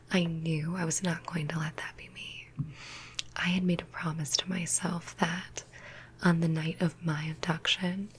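A young woman playing an earnest client giving a breathy, measured, sincere whispered testimonial into a close microphone. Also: fairly low pitch, a little hiss or hum. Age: young adult. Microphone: close desktop studio microphone.

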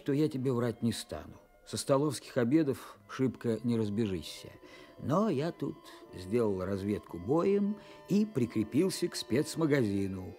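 Swaggering young village lad, mid-pitched, very lively intonation, slangy, boastful, radio play, music behind. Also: male voice. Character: cocky, boastful young tractor-driver reading out his letter. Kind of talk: Soviet radio drama monologue.

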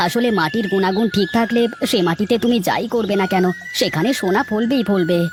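A woman's voice: mid-range voice, brisk, over music, close to an everyday voice. A woman voicing a kindly, principled male banana farmer in a village cartoon, speaking earnestly. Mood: consoling. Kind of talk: cartoon dialogue acting.

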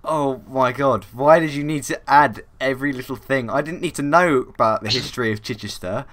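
A man's voice: medium pitch, brisk, fairly bright tone. A young man, bantering casually as a teasing co-host while playing a game. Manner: teasing, mock-exasperated. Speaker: gaming co-host.

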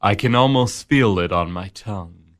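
An actor playing the Devil in a radio drama, a measured, mocking tempter who narrates and coaxes. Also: male voice, on the low side, a bit bright, lightly acted. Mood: ominous. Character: the Devil, smooth, amused male tempter.

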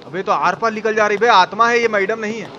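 Male live-stream gamer, Bihari-style Hindi accent, high pitch, colloquial and full of exclamations, noisy recording. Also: fast, sharp tone, lo-fi sound. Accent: eastern Hindi belt, Bihari-style. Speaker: game streamer. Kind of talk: live gameplay commentary, casual chat.

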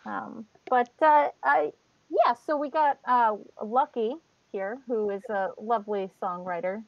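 A woman's voice: fairly high pitch, unhurried, vocal fry, muffled recording. A woman playing a tabletop game over a video call, improvising casual in-character chat in a hesitant, rambling way.

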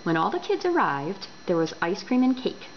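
A woman reading a children's book aloud indoors, expressive and deliberate. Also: medium pitch. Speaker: storyteller. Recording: dull home recording with background noise.

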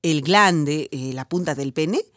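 A woman's voice: deep voice, takes it slowly, no echo, studio quality. An actress playing a frank, playful woman doctor who hosts a radio advice show in a teasing, instructive way. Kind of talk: radio drama advice programme.

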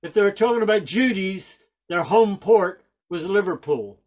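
An older man with a high voice tells stories in a wry, chatty way, throwing in asides. He talks over a video call into a headset mic from a small room at home. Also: lively intonation, moderate pace. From Northern Ireland, raised in England. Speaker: folk singer and speaker. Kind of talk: storytelling talk on a video call.

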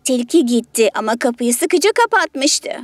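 A woman's voice: on the high side, expressive, a little slow, dry recording, soft background music. A woman storyteller narrating a children's story in a scripted read.

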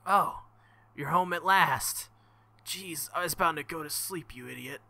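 A man voicing a wounded, sharp-tongued teenage girl mage from a visual novel, read flatly near his own voice. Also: a little high, unhurried, creaky, no echo, exaggerated voice.

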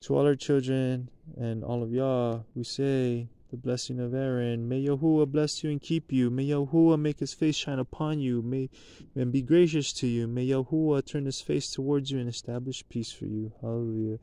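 Man leading prayers outdoors at night, mellow tone, rises into loud chanted blessings, handheld camera close by. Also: mid-range voice, fairly even intonation, normal speed, few pauses, clean tone, dry recording. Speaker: leader of a Sabbath blessing. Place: outdoors at night at a picnic table.

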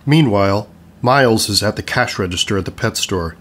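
A man's voice: on the low side, brisk, a bit bright, a little hiss or hum. A man narrating scripted stage directions for an audio play.

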